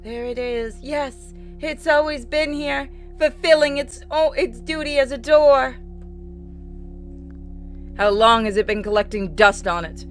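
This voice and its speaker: A woman's voice: high-pitched, measured pace, faint music behind. A woman hosting a game playthrough, reading on-screen dialogue aloud and adding casual asides. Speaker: gaming video host.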